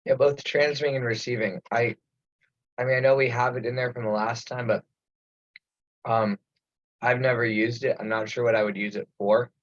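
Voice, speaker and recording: A man's voice: medium pitch, steady tone, moderate pace, pauses often, no room sound. A man speaking frankly and matter-of-factly in an informal remote committee discussion, over muffled audio. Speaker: committee member. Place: remote conference call.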